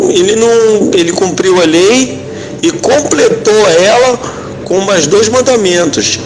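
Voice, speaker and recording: A man giving informal religious teaching as a rabbi, halting and didactic, often checking that the listener follows. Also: a little high. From Israel. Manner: didactic, halting, often seeks agreement with tags. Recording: clearly noisy, vehicle-like background sound.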